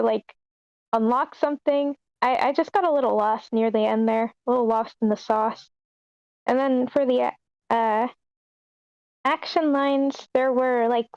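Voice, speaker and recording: Woman, high-ish voice, casual feedback, hesitant and self-deprecating, muffled dry audio. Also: darkish tone, no echo. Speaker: table read participant giving feedback. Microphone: online meeting call.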